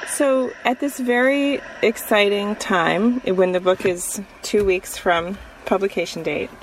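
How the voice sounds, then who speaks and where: A woman's voice: medium pitch, unhurried. A woman hosting a podcast interview, engaged and asking follow-up questions. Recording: distant mic with faint noise.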